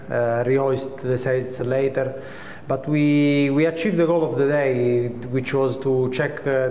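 A man's voice: medium pitch, darkish tone. A middle-aged man with non-native English phrasing, a sailor answering questions in a team interview. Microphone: on-camera interview before sponsor backdrop. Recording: echoey room, faint noise.

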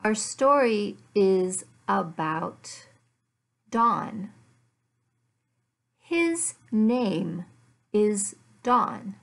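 An American woman in her fifties teaching beginner English, speaking deliberately in short, simple, repeated phrases with pauses, recorded at home on a laptop microphone. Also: medium pitch, animated, vocal fry. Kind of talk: beginner language lesson, addressed to learners. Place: small furnished living room at home.

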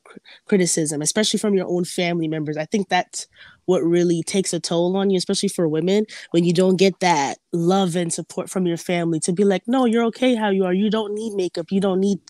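A woman's voice: on the low side, a little mellow, dry recording, studio quality. A woman in her twenties from New York, speaking earnestly as a guest on a video-call podcast. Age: 20s. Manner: earnest, storytelling. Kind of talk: casual podcast chat.

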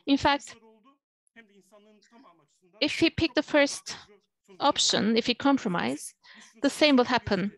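A woman's voice: high voice, animated, takes it slowly, gravelly, long pauses, fairly bright tone, poor audio. A woman interpreting a speech over a video call, hesitant with frequent fillers.

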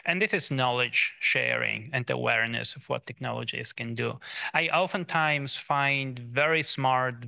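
A man in his forties speaks on a conference panel through a handheld mic, in a very bright, smooth and crisp voice with a gravelly undertone. His delivery is measured and deliberate, and the sound is dry and close. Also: mid-range voice, no echo. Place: conference stage, large hall.

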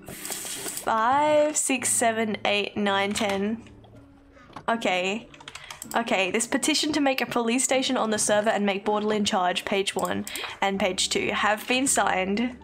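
A young Australian woman streaming from her bedroom, chatting casually with viewers, giggling often and apologizing a lot. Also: fairly high pitch. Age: young adult. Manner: chatty, giggly, apologetic, reads chat aloud. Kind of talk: casual chat with live chat viewers. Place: bedroom at home, indoors.

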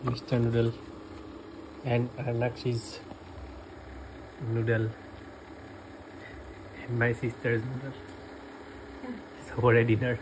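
A man's voice: mid-range voice, background noise. A young man with an Indian accent, narrating a casual vlog and switching between languages, recorded on a handheld phone. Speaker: vlogger.

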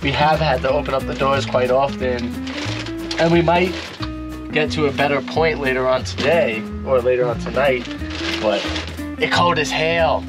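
A man's voice: medium pitch, moderate pace. A young man bantering casually on camera inside a small camper van, laid-back and joking, with music underneath. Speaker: van life vlogger. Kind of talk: casual vlog chat. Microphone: handheld action camera at arm's length.

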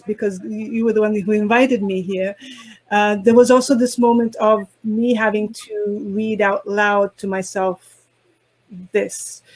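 Slow, halting woman, Italian native speaker. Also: a little high, poor audio. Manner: slow, halting, searching for words. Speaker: poetry translator, panelist. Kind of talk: reflective panel discussion. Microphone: video call.

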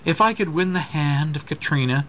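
A man's voice: fairly high pitch, expressive, normal speed, a bit bright, pitched above the performer's own voice. A man voicing a superstitious, lovestruck, courtly schoolmaster from a storybook. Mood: dreamy sighing. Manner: exclaiming, sighing, genteel. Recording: noisy amateur home recording.

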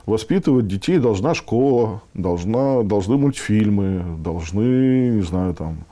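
A man in his fifties giving a casual interview, speaking haltingly as he thinks aloud. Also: lowish voice, lively intonation, warm tone. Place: furnished meeting room indoors.

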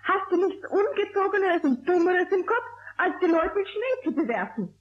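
A woman playing a strict mother in a radio play, stern and brisk. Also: very high-pitched, very animated. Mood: angry. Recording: dull old radio play recording.

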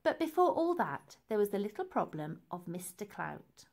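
A woman reading a children's book aloud in an animated way, with dramatic emphasis for young listeners. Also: mid-range voice, moderate pace, gravelly. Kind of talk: expressive storybook narration.

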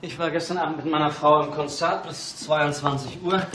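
An actor playing an arrogant, impatient company boss in a radio play, brusque and dismissive. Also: male voice, fairly high pitch, fairly even intonation, normal speed, noisy background, natural voice. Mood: impatient, irritated. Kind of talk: German radio play acting.

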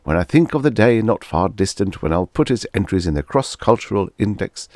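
A man voicing an excitable, pompous middle-aged professor in a story reading, full of wondering exclamations. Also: lowish voice, brisk, no room sound, lightly acted. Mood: wondering, excited.

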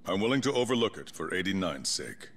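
A man playing a composed, calculating middle-aged chief prosecutor in a video game drama, speaking in a measured, formal, authoritative way. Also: low voice, sing-song. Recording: music under the dialogue.

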